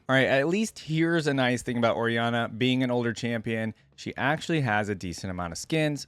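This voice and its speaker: A man's voice: medium pitch, dry recording. A man giving casual, easygoing reaction commentary as a video host, rambling and thinking aloud.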